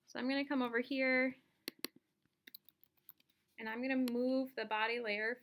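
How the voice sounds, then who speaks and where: A woman giving a casual step-by-step software tutorial, thinking aloud as she demonstrates each action. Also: fairly high pitch. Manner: informal, instructional, thinking aloud while demonstrating. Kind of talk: casual step-by-step screen-recorded lesson.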